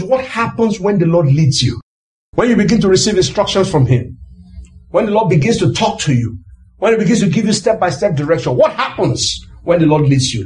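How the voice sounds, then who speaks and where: A man preaching a sermon in an emphatic, exhorting way, often raising his voice and repeating phrases for rhetorical effect. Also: high voice.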